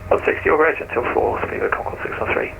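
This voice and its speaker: Heard through a thin, phone-like aviation radio, a man reads back instructions as a pilot. He talks fast in a fairly low voice, with slight noise behind him. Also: creaky. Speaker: pilot on a flight simulator radio network. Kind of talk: brisk radio readbacks. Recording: tinny narrowband radio, faint noise.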